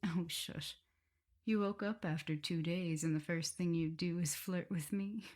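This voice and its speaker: A woman playing an affectionate, teasing vampire mistress with a prim teacherly side, in a soft, intimate, often whispered ASMR roleplay. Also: lowish voice, fairly even intonation, a little slow, lightly acted. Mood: fond, amused. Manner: tender, playful, hesitant murmuring.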